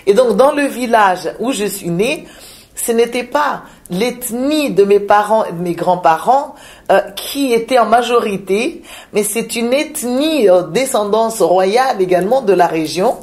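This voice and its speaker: A woman from Madagascar, a shaman, speaking in a reflective interview with frequent pauses, hesitations and repeated words. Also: on the high side, lively intonation, darkish tone. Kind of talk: reflective spiritual interview talk.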